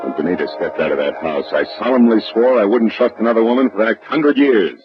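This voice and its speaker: Actor as a low-voiced hard-boiled 1940s private eye. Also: male voice, animated, fast talker, natural voice. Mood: wry narrating. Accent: American. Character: tough, wisecracking male private detective of the 1940s. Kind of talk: 1940s radio detective acting and first-person narration. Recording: dull vintage radio recording, music behind.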